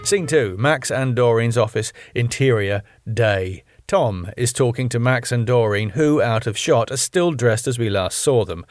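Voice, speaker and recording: A man's voice: mid-range voice, measured pace, hardly any pauses, no room sound, soft background music. Man narrating a script, dry recording. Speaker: narrator reading his own sitcom script.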